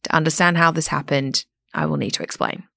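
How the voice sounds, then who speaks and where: A woman reading a scripted first-person story in a serious, measured way. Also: low-pitched, gravelly, pushed and bright, no echo, studio quality. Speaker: reader of an anonymous submission.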